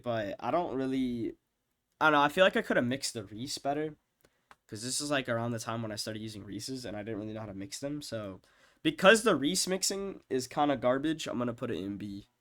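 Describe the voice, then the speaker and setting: A congested young man with a nasal voice, his nose clearly stuffed up from being sick. He rambles casually in a mid-pitched voice, swearing and filling gaps with "um" and "like". He often lets his sentences fade into a mumble. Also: animated, a little fast. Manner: rambling, profane, many fillers, trails off quietly. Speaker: music producer ranking his own songs. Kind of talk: rambling casual screen-share commentary.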